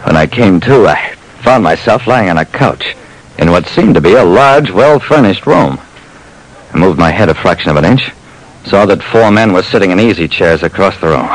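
A man's voice: low-pitched, animated, normal speed. An actor playing a tough private detective narrating his case in clipped, terse 1940s radio drama style, on a noisy old recording. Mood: hard-boiled narrating. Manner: clipped, terse, hard-boiled.

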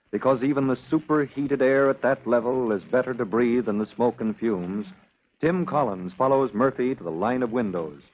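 A man narrating an old-time radio adventure serial as its announcer, in a dramatic scripted style. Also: on the low side. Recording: thin, narrow-band old radio recording.

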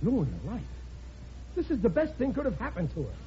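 An actor playing a blustering, stubborn middle-aged working-class American father in old radio sitcom style, with rough diction and emphatic delivery. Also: high-pitched, wildly swooping pitch, a little fast, dark tone. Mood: stubborn, defensive. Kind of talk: 1940s radio sitcom acting. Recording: muffled, noisy old radio recording.

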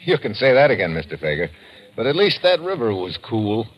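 An actor playing a brisk, tough, wry American investigator in an old radio drama. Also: male voice, on the low side, big pitch swings, husky. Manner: brisk, informal, clipped. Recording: muffled old radio recording, loud music.

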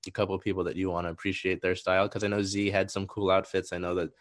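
A man with a very low voice hosts an interview. He asks long questions that loop back on themselves, with frequent fillers and restarts. The sound is dry and close. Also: flat delivery, racing, no room sound. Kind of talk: conversational podcast interview.

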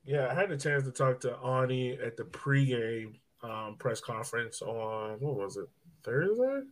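A man hosts a casual sports podcast at an ordinary pitch. He talks conversationally, like he is chatting with friends, and peppers his sentences with "like" and "you know". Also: lively intonation, a little slow, low-quality recording. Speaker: soccer podcast host.

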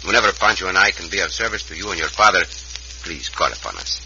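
An actor playing a confident, gallant cowboy hero in an old radio Western, with a Mexican accent and courtly, formal phrasing sprinkled with Spanish. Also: male voice, sits low, animated, quick pace, creaky, muffled recording. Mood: gentle, courtly. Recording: noisy vintage radio recording.

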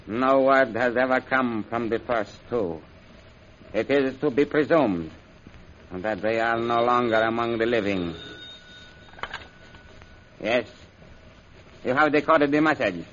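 Deliberate army general, old noisy radio. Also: male voice, mid-range voice, fairly bright tone, dull audio. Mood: measured authority. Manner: deliberate, formal, authoritative. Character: commanding, methodical Chinese army general. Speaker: general giving orders and reading out messages. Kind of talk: old-time radio serial acting.

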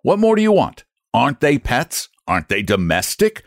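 A man voicing an enraged, sarcastic customer who shouts and sputters over a shipping fee. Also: low voice, wildly swooping pitch, moderate pace, no echo, clean studio sound, voice pushed down. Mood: furious. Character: furious, sarcastic man shipping guinea pigs.

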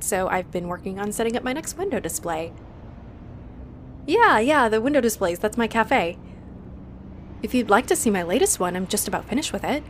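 Woman acting as a female vampire barista, medium-pitched, friendly, chatty, giggly, apologetic, intimate roleplay audio drama. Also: slight background noise, lightly acted. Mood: friendly, inviting. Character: friendly, flustered female vampire running a cozy cafe.

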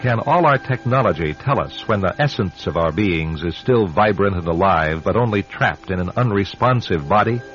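A man hosting a radio drama with solemn, rhetorical scripted narration, measured and dramatic. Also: sits low, lively intonation, a bit bright. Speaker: radio drama host and announcer. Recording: dull lo-fi radio recording with music.

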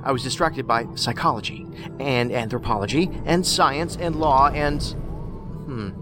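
A man acting as an eccentric male investigator in a science fiction audio drama. He is analytical and wordy, with dry whimsy, in a mid-pitched voice. Music plays clearly behind him. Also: unhurried, close to an everyday voice. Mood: dryly whimsical. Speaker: federal agent, profiler.

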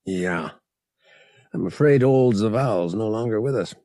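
A man's voice: lowish voice, lively intonation, a little slow, mellow, no room sound, clean studio sound. A man voicing a young roguish space adventurer in an audiobook, casual and wry, with slangy speech.

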